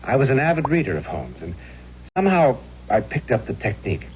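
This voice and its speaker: An actor playing a charming, mysterious middle-aged gentleman with genteel, old-fashioned phrasing in a vintage radio drama. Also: medium pitch, very animated, a little fast, natural voice. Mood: suave, confident. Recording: dull, noisy old radio recording with hum.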